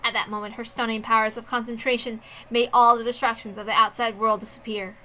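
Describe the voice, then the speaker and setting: Bright-voiced woman narrating a story. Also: fairly high pitch, flat delivery, quick pace, low-quality recording. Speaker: reader of a visual novel. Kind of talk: dramatic story narration read aloud.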